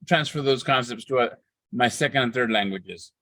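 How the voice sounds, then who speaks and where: A man interpreting a discussion into English, steady, with occasional restarts. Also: medium pitch, unhurried, no room sound.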